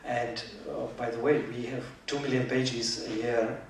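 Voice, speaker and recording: A man answers an audience question at a conference in halting, non-native English, filling pauses with "uh." His voice comes from far off in a big echoing hall, with clear background noise. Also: mid-range voice, flat delivery. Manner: hesitant, non-native phrasing with "uh" fillers. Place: large lecture hall. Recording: echoey, distant hall audio with noise.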